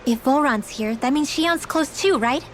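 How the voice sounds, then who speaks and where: A woman's voice: high voice, moderate pace, slight background noise, natural voice. A woman playing an earnest, chatty teenage girl companion in a fantasy game, casual and concerned. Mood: concerned, relieved. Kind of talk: video game voice acting.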